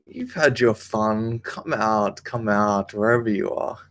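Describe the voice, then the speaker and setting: A man's voice: on the low side, takes it slowly, a bit bright. Man playing a smug, lecherous drunken nobleman. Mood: playful, drunken. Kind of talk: dramatic table read.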